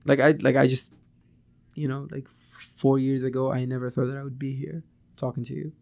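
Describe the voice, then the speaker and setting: A man's voice: mid-range voice, little pitch movement, brisk, creaky, a little mellow. A young man from Syria chatting casually as an interview guest, in a hesitant, modest way. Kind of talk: casual chat.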